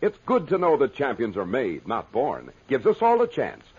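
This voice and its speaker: An American male announcer delivers a vintage radio ad with huge pitch swings and a very rough, husky tone. He sells in an upbeat, folksy way on a dull old recording. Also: wildly swooping pitch, moderate pace. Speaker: commercial announcer. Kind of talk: 1950s radio advertisement read.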